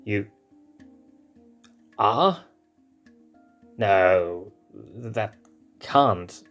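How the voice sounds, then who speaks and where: A man voicing a brash, defensive young male lion cub prince with a blunt, gruff way of speaking. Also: on the low side, animated, very slow, a bit bright, faint music behind, full character voice.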